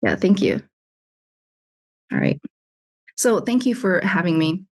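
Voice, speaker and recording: A woman's voice: on the low side, huge pitch swings, slow pace. A woman from Alabama working as an executive coach, answering interview questions in a candid, chatty way with frequent laughs. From United States (Alabama). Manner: candid, laughs often, repeats words.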